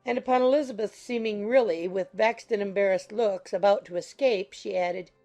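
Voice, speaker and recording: A woman's voice: medium pitch, moderate pace, dark tone. A woman reading scripted literary narration as an audiobook narrator. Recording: faint music behind the voice.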